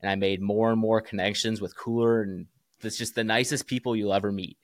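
Male interview guest, American, fairly low voice, earnest and plain-spoken, recorded dry. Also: fairly even intonation, fairly quick, no echo. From Chicago area, United States. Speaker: podcast guest, small business founder. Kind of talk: casual interview chat.

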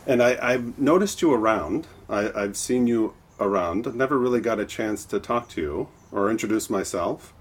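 A man's voice: on the low side, lively intonation, normal speed, hardly any pauses, slightly rough. A man hosting a podcast, reading an introduction and then asking questions, often stammering and repeating words as he asks. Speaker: podcast host. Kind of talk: interview host, scripted intro then chat.

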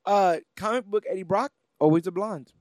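A male podcast co-host with a fairly high voice. He recaps in a loose, conversational style, recorded very dry with no room sound. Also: moderate pace, a little mellow, no echo. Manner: conversational retelling with acted-out paraphrases. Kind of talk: casual chat, cartoon recap.

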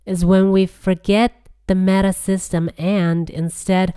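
Woman podcast host, husky nasal voice, grandiose scripted comic delivery, very unhurried pace. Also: mid-range voice, flat delivery, dark tone, dry recording, clean studio sound. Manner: grandiose, slow and deliberate.

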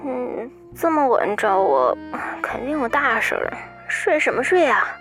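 A woman's voice: fairly high pitch, sing-song, measured pace, a bit bright. An actress playing a playful, outspoken young woman, a teasing and encouraging best friend in an audio drama. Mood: eager. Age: young adult. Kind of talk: audio drama phone conversation. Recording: faint music bed behind the voice.

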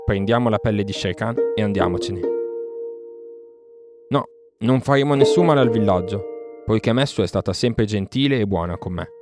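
A man's voice: fairly low pitch, moderate pace, pauses often, loud music behind the voice, natural voice. A man voicing a proud, bold boy raised in the jungle, speaking emphatically in a storybook reading. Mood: resolute. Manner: emphatic, declamatory.

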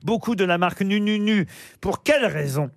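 A man hosting a radio panel show in a studio, teasing and quick to joke. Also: high voice, expressive, vocal fry, no echo.

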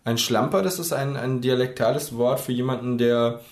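A man's voice: medium pitch, moderate pace. A man co-hosting a casual podcast chat who rambles, thinking aloud with many fillers. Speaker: podcast co-host. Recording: roomy echo.

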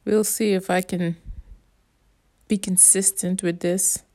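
A woman's voice: lowish voice, flat delivery, takes it slowly, dark tone. A woman from the Bahamas with a Bahamian accent, rambling in a casual monologue, blunt and profane, with an exasperated edge. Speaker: vlogger.

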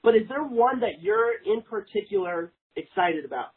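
A man's voice: sits high, animated, moderate pace, slightly rough. A young man asking questions in a casual sports chat as a podcast interviewer. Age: young adult. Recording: tinny, narrow phone-like sound.

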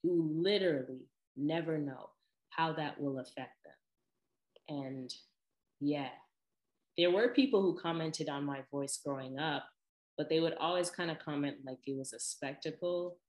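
A young woman with a deep voice, chatting casually and telling personal stories to her viewers, with frequent laughs and lively asides. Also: measured pace, reverberant, rough recording. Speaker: vlogger. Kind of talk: casual personal storytelling to camera.

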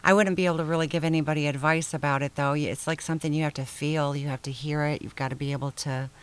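An older woman from Michigan, a singer-songwriter guest, answering questions hesitantly with many pauses and small laughs. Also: low voice, fairly even intonation, smooth. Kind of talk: casual interview chat.

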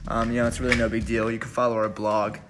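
A man hosting a joking podcast, loud and swaggering with a lot of swearing. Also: fairly low pitch, little pitch movement, fast talker, a little hiss or hum. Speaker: podcast host.

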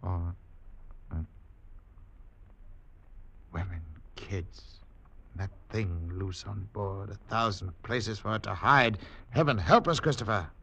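Forceful, low-voiced sea captain. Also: male voice, takes it slowly, gravelly, pauses often, exaggerated voice. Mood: grim. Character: grave, commanding ship's captain taking charge. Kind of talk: old-time radio drama acting. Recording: muffled old radio recording, faint noise.

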